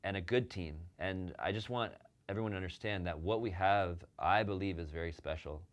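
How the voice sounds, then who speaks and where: Very low-voiced smooth man hosting. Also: fairly quick. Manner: warm praising, many fillers. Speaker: show host and agency owner. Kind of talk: casual interview.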